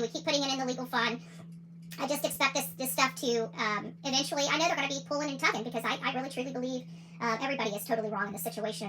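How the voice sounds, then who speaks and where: A woman's voice: high-pitched, pushed and bright, slight background noise, rough recording. A woman hosting a livestream, giving opinionated commentary in a combative, indignant and emphatic way, often repeating phrases for emphasis. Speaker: livestream host.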